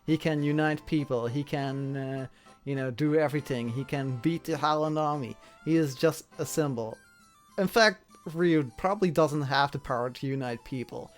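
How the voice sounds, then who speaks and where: A man's voice: on the high side, normal speed, soft background music. A man chatting casually as an interviewer, explaining things in a rambling way and often repeating himself. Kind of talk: casual chat.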